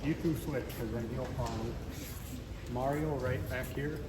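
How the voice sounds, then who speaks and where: A man's voice: mid-range voice, fairly even intonation, normal speed. A man giving short, informal directions to people about where to stand. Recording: very noisy crowd, echoey room.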